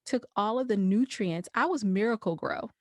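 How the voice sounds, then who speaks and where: A woman's voice: mid-range voice, normal speed, a little mellow, dry recording, clean studio sound. A woman guesting on a podcast, chatting casually in a playful, sassy, joking manner. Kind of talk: casual chat.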